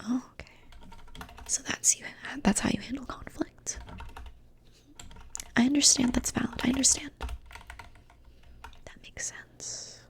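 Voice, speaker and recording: A young woman whispering softly in an ASMR personality-quiz roleplay, slow and soothing, often repeating phrases. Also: on the high side. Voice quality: breathy. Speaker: ASMR host.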